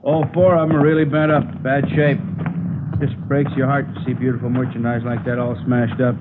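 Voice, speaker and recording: An actor playing an affable, folksy, talkative salvage yard owner in a 1950s radio drama. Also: male voice, medium pitch, little pitch movement, a little fast, lightly acted. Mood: chatty. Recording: noisy, phone-like vintage radio broadcast.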